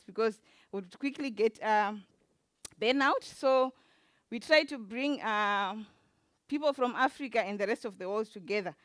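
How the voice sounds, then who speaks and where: Zimbabwean woman giving a halting talk. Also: a little high, no echo. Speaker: conference speaker, event organizer. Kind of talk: informal lightning talk presentation. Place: conference talk before an audience.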